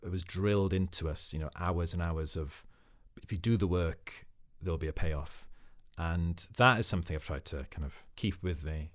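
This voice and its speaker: Welsh actor guest, very deep voice, thoughtful and unhurried, young adult. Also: male voice. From Wales. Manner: thoughtful, reflective, with frequent fillers. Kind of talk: casual interview.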